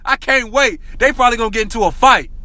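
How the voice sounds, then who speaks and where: A man giving an excited, slangy basketball rant to his viewers, repeating himself often and saying he is losing his voice. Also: very high-pitched, fast talker, shouting, background noise. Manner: excitable, repetitive, slangy, addresses viewers as y'all. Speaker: sports talk vlogger. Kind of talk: hyped casual basketball rant.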